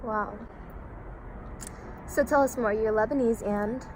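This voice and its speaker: A woman hosting a casual chat, chatty, with quick interjections. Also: a little high. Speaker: host. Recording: noisy street with passing traffic.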